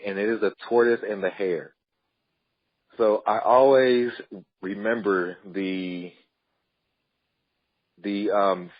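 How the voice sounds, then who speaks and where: A man with a lowish, husky voice speaks as a guest on a podcast. He talks earnestly and sometimes restarts his phrases. The sound is slightly muffled and dry. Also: dry recording. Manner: earnest, with some repeated starts. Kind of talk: storytelling interview answers.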